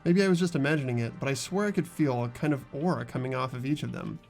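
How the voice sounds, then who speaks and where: A man's voice: medium pitch, quick pace, creaky, a little mellow. A man hosting a voiced game playthrough, chatting casually and reading narration over game music.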